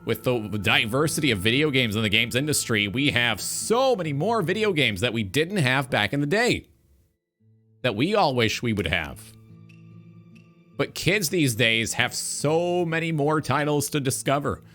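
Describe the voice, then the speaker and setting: Bright-voiced man in his twenties over music. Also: medium pitch, very animated, normal speed. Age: 20s. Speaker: talk show host, game streamer. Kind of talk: reflective talk show monologue.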